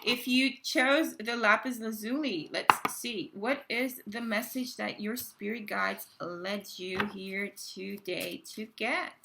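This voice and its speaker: A woman reading tarot cards to her viewers in a casual, halting way, full of fillers and restarts, her voice a little raspy from a cold. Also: medium pitch, background noise. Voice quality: gravelly. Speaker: tarot card reader.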